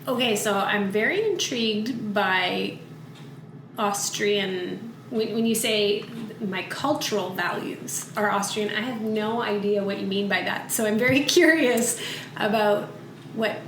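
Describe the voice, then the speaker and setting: A woman hosts a podcast. She reads a smooth introduction and then asks her guest curious questions, at a middle pitch. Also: measured pace, a bit bright, slight background noise, poor audio. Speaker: podcast host. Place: office.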